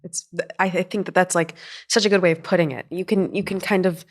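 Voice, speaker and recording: A woman with a very low voice hosts a faith podcast interview. She affirms her guest and sums up ideas in a reflective, unhurried way. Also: fairly even intonation. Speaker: podcast host. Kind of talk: interview hosting.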